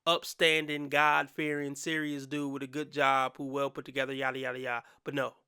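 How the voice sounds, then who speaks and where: Blunt, bright-voiced man commenting. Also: mid-range voice, little pitch movement, fairly quick, clear, resonant tone, dry recording, clean studio sound. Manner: blunt, opinionated, slangy. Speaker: commentary channel host. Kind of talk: reaction commentary.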